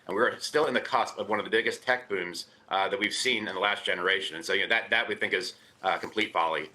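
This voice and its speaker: A man giving his market views as a guest on a live business news show, talking in a rushed, stumbling, emphatic way. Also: fairly low pitch, sharp tone. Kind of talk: live TV interview.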